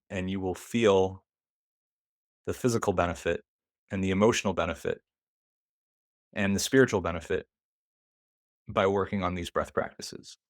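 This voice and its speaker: A man leading a guided meditation, speaking deliberately in short phrases with pauses between them. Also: deep voice, no room sound, clean studio sound.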